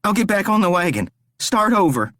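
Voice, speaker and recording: A man's voice: sing-song, fairly quick, a bit bright, dry recording, studio quality. A man putting on a terrified pleading captive. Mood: desperate. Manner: high strained desperate pleading. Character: terrified bound young man pleading for life.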